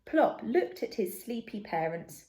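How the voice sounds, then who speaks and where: Female storybook narrator: unhurried, deliberate, expressive, with animated intonation for young listeners. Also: on the high side. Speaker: storybook reader. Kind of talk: children's story narration, read aloud.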